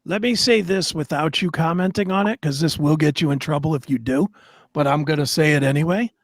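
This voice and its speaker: A man's voice: on the high side, brisk, no echo, studio quality. A man co-hosting a talk show in a casual, profane way, blunt and opinionated. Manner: blunt, opinionated, swears freely.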